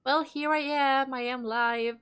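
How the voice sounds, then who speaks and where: A woman's voice: high voice, fairly even intonation, unhurried, fairly bright tone, smooth. A woman with a stuffy nose streaming a game, reading its dialogue aloud and chatting casually with viewers, with the odd curse thrown in. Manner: reads lines aloud, swears and laughs between.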